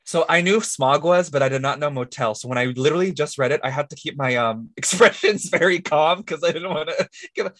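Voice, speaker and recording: A man's voice: a little high, brisk, hardly any pauses, fairly bright tone, no echo, clean studio sound. A man co-hosting a podcast, explaining things in a casual, jokey, conversational way. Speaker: podcast co-host.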